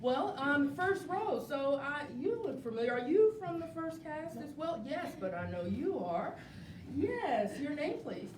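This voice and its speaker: An enthusiastic woman hosts a live interview event in a fairly high voice. She sounds encouraging and repeats phrases for emphasis. She is picked up from a distance in an echoey venue. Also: very animated, a little slow. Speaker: host of a live community interview show. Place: indoor venue with a live studio audience. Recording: roomy, distant mic with clear rumbling noise.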